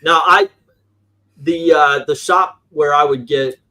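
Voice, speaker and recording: A man's voice: a little high, steady tone, slow, pushed and bright. A man chatting casually as a show host, blunt and given to swearing. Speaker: comics show host and shop dealer. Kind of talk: casual chat.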